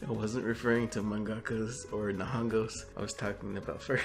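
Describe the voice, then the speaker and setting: Male co-host, lowish voice, casual and jokey, rambling and mock-grandiose, faint music underneath. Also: little pitch movement, brisk, gravelly. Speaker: co-host of a web video series. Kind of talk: casual joking banter with a co-host.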